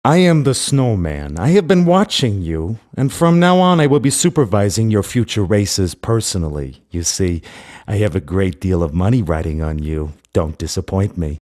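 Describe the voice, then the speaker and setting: A cold, controlling crime boss from a video game. His voice is dark and fairly low, with wide swings in pitch, and he delivers veiled threats deliberately. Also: sing-song. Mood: menacing. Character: the Snowman, a cold, menacing male racing crime boss. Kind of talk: video game character dialogue.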